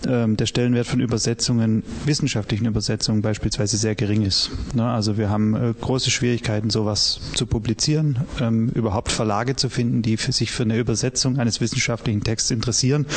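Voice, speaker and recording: A man's voice: mid-range voice, darkish tone, a little hiss or hum. Searching man asking a panel question. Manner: searching, many fillers. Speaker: academic discussant.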